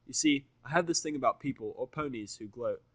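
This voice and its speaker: A man's voice: medium pitch, brisk, fairly bright tone, no echo. Dry-sounding man narrating a story. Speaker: fan fiction reader. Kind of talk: first-person story narration.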